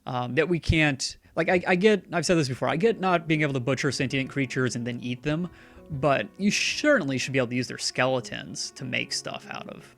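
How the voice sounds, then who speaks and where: Male streamer, gravelly, rambling sarcastic commentary, faint guitar music underneath. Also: medium pitch, expressive, a little fast, fairly bright tone, dry recording. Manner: chatty, sarcastic, talks back to the game's characters. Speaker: streamer playing a game.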